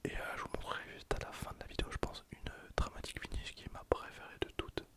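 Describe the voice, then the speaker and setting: A young man gives casual, hesitant gameplay commentary in a breathy, unvoiced ASMR whisper. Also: fast talker. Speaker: ASMR gaming video host.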